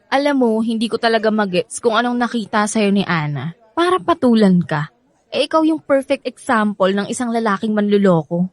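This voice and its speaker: A woman's voice: a little high, expressive, a little fast, no echo, lightly acted. An actress with a Filipino accent playing an irritable, guarded young woman in a radio drama, sarcastic and combative. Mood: irritated, sarcastic.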